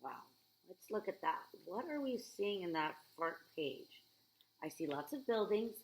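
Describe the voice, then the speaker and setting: A woman reading a picture book aloud to children, expressive, with chatty questions and asides. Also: fairly low pitch, a little slow, poor audio.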